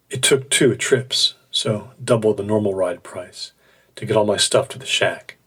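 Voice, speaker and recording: An actor playing an anguished, burned-out man in his forties, narrating his own story haltingly in an audio drama. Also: lowish voice, husky. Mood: weary, reflective. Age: 40s. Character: burned-out, anguished middle-aged office man recounting his breakdown.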